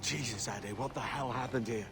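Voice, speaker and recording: A man's voice: mid-range voice, moderate pace, background noise, lightly acted. An actor playing a weary, remorseful pirate captain in his thirties with a British accent, earnest and plain-spoken, in a video game cutscene. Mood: troubled. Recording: background music under the dialogue.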